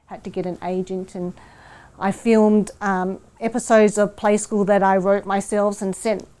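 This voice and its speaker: A woman's voice: medium pitch, level tone, a little slow, darkish tone. Middle-aged Australian woman telling anecdotes. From Perth, Australia. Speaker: interview guest, children's TV presenter. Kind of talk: casual anecdotal storytelling.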